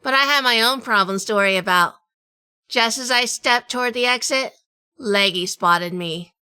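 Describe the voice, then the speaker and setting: A woman playing a wry, tough female sleuth in a hardboiled noir audio drama, telling it in measured, dramatic fashion. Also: fairly high pitch, sharp tone, smooth, no room sound. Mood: tense. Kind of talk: first-person noir audio drama narration.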